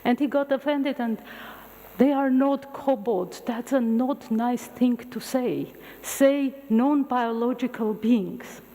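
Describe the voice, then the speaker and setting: A middle-aged woman whose first language is Armenian gives an open university lecture in English, telling stories with halting restarts. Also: fairly high pitch. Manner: animated storytelling with halting restarts. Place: large lecture hall. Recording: long hall reverb.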